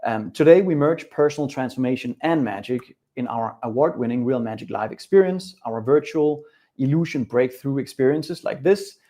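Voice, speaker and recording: A middle-aged man from Denmark with a Danish accent leads a live webinar as a coach. He speaks upbeat and direct, talking straight to his viewers and their chat, with a voice of ordinary pitch for a man. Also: moderate pace, warm tone.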